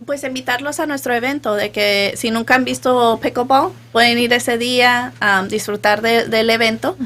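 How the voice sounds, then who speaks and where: A woman speaks as a guest on a radio program. She talks fast and loosely, pausing on small fillers. Her tone stays easy and relaxed. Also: on the high side.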